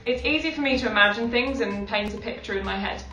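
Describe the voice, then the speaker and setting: A nineteen-year-old woman giving calm, deliberate interview answers. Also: fairly high pitch. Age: teens. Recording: echoey, distant mic, background music.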